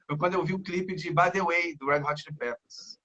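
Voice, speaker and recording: A man in his thirties with a Carioca accent talks casually as a guest, rambling and restarting his sentences. His voice sits a little high and sounds bright and forceful. The audio is dull and lo-fi. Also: steady tone, a little fast. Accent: Carioca Brazilian Portuguese. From Rio de Janeiro, Brazil. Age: 30s. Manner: rambling, many fillers and restarts. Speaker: guest guitarist. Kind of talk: casual interview chat.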